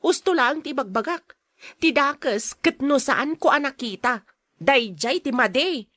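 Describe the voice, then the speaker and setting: A woman's voice: sits high, lively intonation, unhurried, a bit bright, dry recording, clean studio sound. A woman acting a defiant, gossiping daughter in a radio drama, argumentative and whining. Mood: indignant. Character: defiant, gossiping daughter who insists she saw it.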